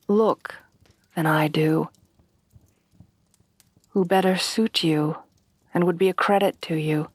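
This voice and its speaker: A woman playing a refined, tender headless horsewoman bride in a soft-spoken ASMR roleplay, with formal, genteel phrasing. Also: fairly low pitch, no echo. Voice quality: soft, breathy at times.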